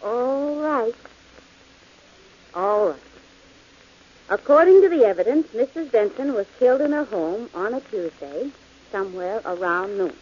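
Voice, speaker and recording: A wry, doubting companion. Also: female voice, a little high, expressive, measured pace, long pauses, darkish tone, slightly rough. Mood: skeptical. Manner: dry, skeptical. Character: skeptical wry woman, detective's companion. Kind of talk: 1940s radio acting. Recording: dull old radio broadcast with hiss.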